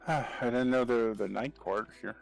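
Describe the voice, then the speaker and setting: Gravelly, hesitant man at the game table. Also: medium pitch, normal speed, soft background music, poor audio. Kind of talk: casual in-character game chat.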